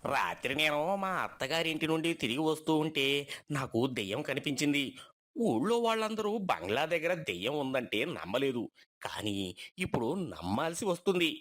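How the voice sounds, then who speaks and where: A man's voice: medium pitch, very animated, a little fast, a bit bright. A man voicing a boastful, superstitious village farmer who mocks and challenges his friend in a moral story. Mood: spooked. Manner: mocking, challenging. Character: taunting, boastful village farmer, frightened by ghost rumours.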